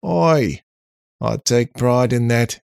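A man voicing a cheeky, cocky, talkative robot in an animated, boastful, slangy way for an audiobook. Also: medium pitch, takes it slowly, gravelly, smooth, no room sound, clean studio sound, voice pushed up. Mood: chatty. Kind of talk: audiobook character voice.

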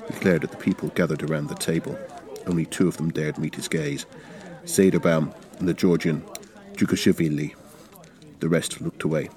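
A man's voice: a bass voice, fairly even intonation, normal speed, a little mellow. A man reading his own short story as a scripted, dramatic narration.

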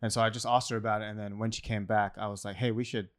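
Smooth-voiced man from England. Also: fairly low pitch, little pitch movement, very fast, dry recording, studio quality. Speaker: podcast guest. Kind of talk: casual chat.

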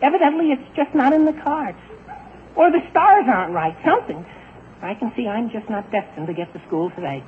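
A man's voice: very high voice, lively intonation, a little fast. An actor playing an exasperated, whiny teenage boy, anxious and flustered, in a 1940s radio comedy. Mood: defeated. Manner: whiny, flustered, exclaiming in boyish slang. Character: exasperated, fretful teenage boy, anxious about being late. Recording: scratchy, tinny 1940s radio broadcast, noisy.

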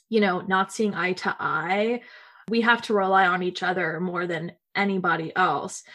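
A woman's voice: fairly low pitch, a bit bright. A young woman hosting a podcast, speaking in a thoughtful, reflective way with fillers. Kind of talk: casual conversation.

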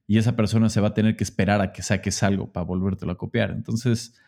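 Host, man, low and mellow voice, thinks out loud, unhurried and hesitant. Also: fairly even intonation, gravelly. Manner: hesitant, with many fillers and restarts, reflective. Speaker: interviewer. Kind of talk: casual interview.

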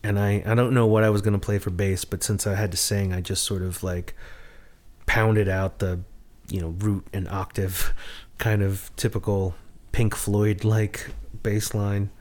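A man co-hosting a podcast in casual chat, rambling and self-deprecating with halting restarts. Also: on the low side, little pitch movement, a little mellow. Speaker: podcast co-host.

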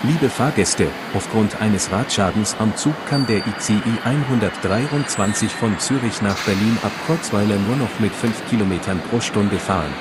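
A man's voice: fairly low pitch, normal speed, few pauses, close to an everyday voice. A man playing a formal railway announcer in an audio drama, making a station announcement. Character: formal male railway announcer reporting delays. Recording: loud station and vehicle noise.

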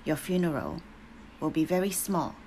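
A woman voicing a stern elderly matriarch with a shrill, scolding voice, in a storybook reading. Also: normal speed, dark tone, a little hiss or hum, natural voice. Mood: grave.

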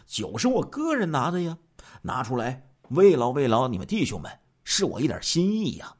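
A man's voice: mid-range voice, huge pitch swings, normal speed. A man voicing a hospitable, genial township chief in a story, coaxing in a colloquial way.

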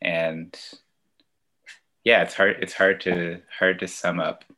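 A man from Ontario, a children's musician, answering interview questions in a relaxed, hesitant way with many fillers and restarts. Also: sits low, slow. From Ontario, Canada. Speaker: children's musician and outdoor educator.

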